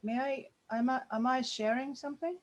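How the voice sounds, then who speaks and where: A woman presenting a workshop over a video call, halting and using many fillers. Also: sits high, fairly even intonation. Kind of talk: online meeting presentation.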